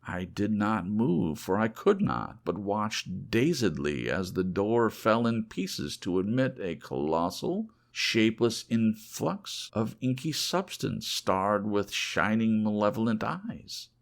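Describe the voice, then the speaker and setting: A man narrating a horror audiobook in a theatrical, deliberate, scripted manner. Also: fairly low pitch, sing-song, gravelly.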